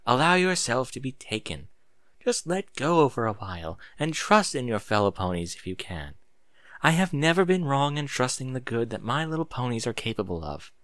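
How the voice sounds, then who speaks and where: Storybook role: serene motherly pony mentor, played by a man, gentle, reassuring, bright tone, very close dry sound. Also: mid-range voice, very animated, moderate pace, no echo. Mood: gentle, encouraging.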